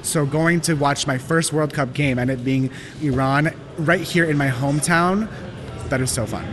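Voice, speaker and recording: A man who grew up in Los Angeles and owns a cafe, talking calmly and earnestly in an interview. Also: on the high side, normal speed, over music. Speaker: cafe owner.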